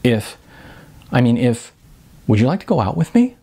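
A man voicing a shy, awkward writer in a storybook reading, halting and stammering as he talks. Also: medium pitch, very animated, gravelly, mellow, breathy edge, full character voice. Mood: nervous, hopeful.

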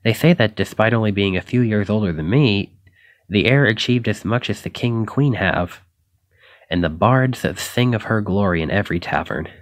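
Guy playing a nervous young knight for asmr roleplay, kinda low voice, stutters and second guesses himself, dry close sound. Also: lively intonation, moderate pace, no room sound, close to an everyday voice. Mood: anxious, self-doubting. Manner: stammering, hesitant, apologetic. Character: shy, flustered, self-doubting young male knight.

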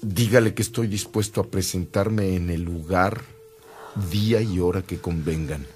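A man plays a dignified bishop in a radio drama. His low voice is calm and formal, giving instructions with earnest care. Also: moderate pace, faint music behind, lightly acted. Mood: earnest, resolute.

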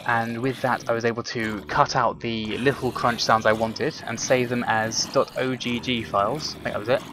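A man's voice: mid-range voice, steady tone, moderate pace, background noise. A young man giving casual, rambling commentary while he plays a video game, talking to himself and to his viewers. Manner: chatty, rambling, frequent ums and self-talk. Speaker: gaming commentator. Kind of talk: casual let's play commentary.

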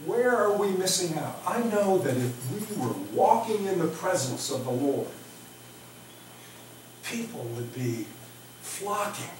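Middle-aged American man as a pastor: unhurried, reflective delivery with wide pitch swings, speaking informally to a congregation. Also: very animated, darkish tone. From Oklahoma, United States. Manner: halting, reflective, with repeated words and emphatic pauses. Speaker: pastor preaching. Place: small church sanctuary, indoors. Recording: echoey church, distant microphone, faint noise.

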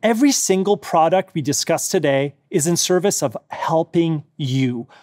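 A middle-aged man giving a measured, confident scripted keynote as a company executive. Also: fairly high pitch, expressive, a little mellow, no room sound, studio quality. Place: studio set.

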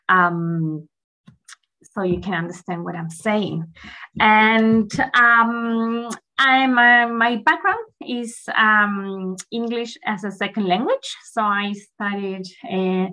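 A woman's voice: mid-range voice, bright, forceful tone, rough recording. A woman from Chile in her thirties giving a friendly, chatty guest lecture over a video call, with frequent ums. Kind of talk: online academic presentation.

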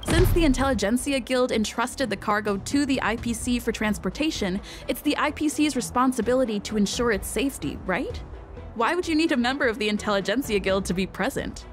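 A woman's voice: a little high, lively intonation, fairly quick, natural voice. A woman voicing a shrewd, assertive young female negotiator in a video game, speaking articulately. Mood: reasoning, firm. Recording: music under the voice.

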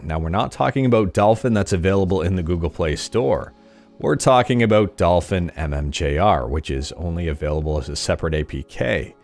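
Deep-voiced man hosting emulation news. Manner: upbeat, jokey sign-offs. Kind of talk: scripted tech news video. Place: small room at home. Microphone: studio mic on boom arm.

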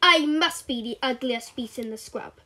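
A woman's voice: high-pitched, moderate pace, bright, forceful tone. A woman voicing a spotty, spiky-maned hyena boasting in rhyme. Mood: boastful. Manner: boastful, rhyming. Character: spiky, spotty hyena, sure it's ugliest.

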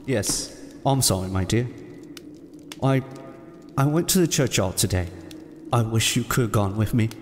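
A man's voice: lowish voice, lively intonation, measured pace, long pauses, slight background noise. Meek grieving father, reverberant. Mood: subdued, wistful. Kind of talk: audio drama acting.

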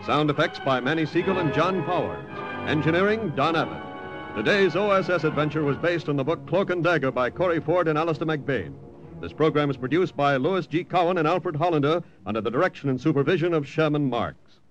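A man's voice: fairly high pitch, a little fast. A man announcing in 1940s radio style, reading out programme credits. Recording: muffled old radio recording, music behind.

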